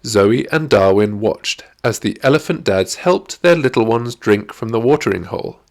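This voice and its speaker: A man's voice: fairly low pitch, expressive, moderate pace. A man reading a scripted children's story as an audiobook narrator.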